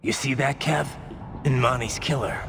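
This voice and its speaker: An actor playing a grim, determined cyborg soldier with a gritty voice in a video game. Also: male voice, mid-range voice, fairly even intonation, normal speed, gravelly, background noise, close to an everyday voice. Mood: grim resolve.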